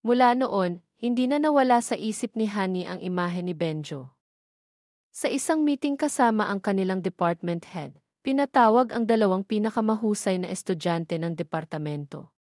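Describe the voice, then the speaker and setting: A woman's voice: mid-range voice, animated, moderate pace, darkish tone, dry recording, clean studio sound. A woman with a Filipino accent reading a scripted romance story as its narrator.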